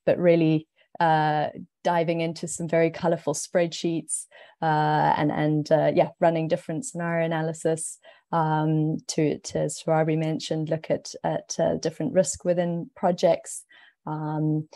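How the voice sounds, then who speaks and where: A woman with a South African accent speaks in a low, mellow, fairly flat voice. She talks casually and stumbles into repeated words as she explains her work. The sound is dry and close. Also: a little slow, dry recording. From Zimbabwe, raised in South Africa. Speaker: investment manager, panelist. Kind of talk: casual panel talk.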